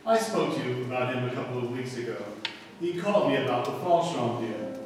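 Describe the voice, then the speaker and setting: A man's voice: medium pitch, animated, brisk, close to an everyday voice. A man playing an unfaithful, evasive husband in a 1940s radio mystery, dry and a little hesitant. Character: unfaithful husband, evasive, curt with his wife. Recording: echoey hall, far from mic, music.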